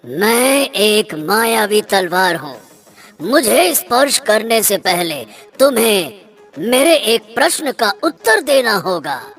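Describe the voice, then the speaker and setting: A woman voicing an enchanted talking sword in a children's cartoon, a stern guardian with a deliberate, declamatory delivery. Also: mid-range voice, fairly bright tone, exaggerated voice. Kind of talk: animated children's story dialogue.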